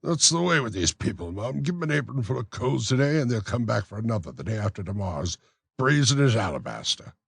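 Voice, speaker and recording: A man's voice: mid-range voice, sing-song, brisk, gravelly, breathy edge, no echo, voice pushed up, heavily acted. A man voicing a pompous, self-important male parish beadle who lectures in a blustering way. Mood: indignant. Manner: blustering, self-satisfied, preachy.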